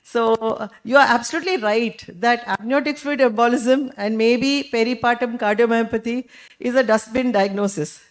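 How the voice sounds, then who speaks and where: A woman with a naturally loud, high-pitched voice speaks as an obstetrician on a medical panel. She sounds frank and plain-spoken. She tells anecdotes from her own clinical practice. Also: steady tone, moderate pace. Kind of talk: conference panel discussion.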